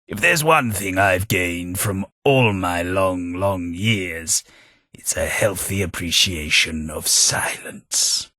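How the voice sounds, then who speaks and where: A man's voice: low voice, slow pace, pushed and bright, dry recording, studio quality, full character voice. An actor with an English accent playing a polite, dryly witty undead male clerk in a game, in refined speech. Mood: weary. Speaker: companion character. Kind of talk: game voice lines.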